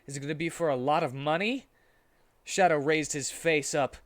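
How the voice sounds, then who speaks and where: A man's voice: on the high side, normal speed. A man reading a silly story aloud in a theatrical, mock-serious comic style.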